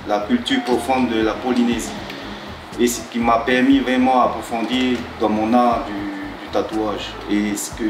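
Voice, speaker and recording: Tattoo guy from tahiti, halting and restarting a lot, echoey room, music clear behind. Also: mid-range voice. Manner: hesitant, halting with restarts and fillers. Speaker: tattoo artist. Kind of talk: talking-head interview.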